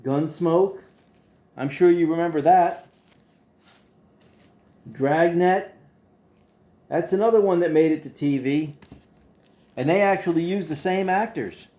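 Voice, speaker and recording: A man hosting a casual monologue to his viewers in a folksy, playful way, halting and repeating himself as he goes. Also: fairly high pitch, mellow. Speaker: host.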